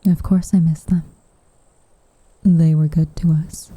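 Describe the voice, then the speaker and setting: Woman playing a very dark, breathy, soft-spoken witch. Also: low voice, little pitch movement, no room sound, exaggerated voice. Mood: wistful, tender. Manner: soft, breathy, tender, measured delivery. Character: tsundere witch, gentle, wistful, quietly protective woman. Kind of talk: soft roleplay ASMR, intimate and half-whispered. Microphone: close microphone.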